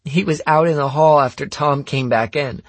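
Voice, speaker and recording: A man's voice: on the high side, normal speed, no echo, pitched above the performer's own voice. A man voicing an indignant, insistent teenage girl for an audiobook, speaking in youthful colloquial phrases. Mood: accusing. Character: indignant teenage girl accusing someone of theft.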